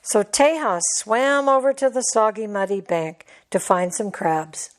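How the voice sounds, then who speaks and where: A woman's voice: medium pitch, animated, unhurried. A woman reading a scripted children's story as a storybook narrator. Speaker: storybook reader.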